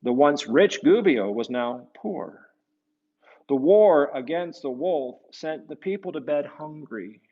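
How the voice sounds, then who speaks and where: A man reading a picture book to children, gently explaining it and often tagging questions onto his remarks. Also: a little high, animated, takes it slowly, dull audio. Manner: gentle, explanatory, asks the listeners tag questions. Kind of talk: storybook read-aloud with conversational asides.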